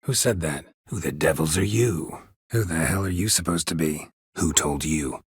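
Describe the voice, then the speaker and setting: An actor playing a stoic, world-weary monster hunter in a video game, with a gravelly, husky voice and terse, dry, deadpan delivery. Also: male voice, extremely low pitch, normal speed, no echo, full character voice. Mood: inquisitive, terse.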